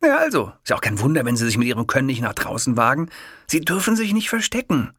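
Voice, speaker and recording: A man voicing a chatty, pushy middle-aged male kiosk owner who talks fast, eagerly and stumbles over his words in a storybook reading. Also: medium pitch, big pitch swings, a bit bright, husky, dry recording, studio quality, voice pushed up.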